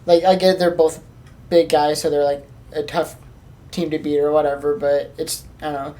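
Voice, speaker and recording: Very low, mellow-voiced woman. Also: flat delivery, moderate pace. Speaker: podcast co-host. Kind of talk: casual chat.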